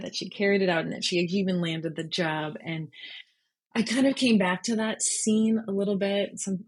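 A woman with a slightly husky voice, speaking thoughtfully and informally as an interview guest. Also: lowish voice. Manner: thoughtful, informal, explains step by step. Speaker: podcast guest, freelance journalist. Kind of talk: reflective interview conversation.

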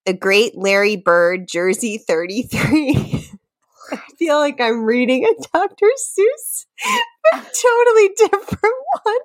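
Giggly, expressive woman podcaster, unhurried. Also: on the high side. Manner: giggly, often breaking into laughter. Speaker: podcast co-host. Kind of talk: playful dramatic reading of lyrics mixed with casual banter.

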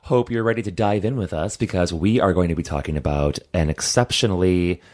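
A man's voice: deep voice, brisk, a little mellow, soft background music. A man co-hosting a podcast, chatting casually about films in a jokey, conversational way. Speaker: podcast co-host. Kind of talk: casual chat reviewing films.

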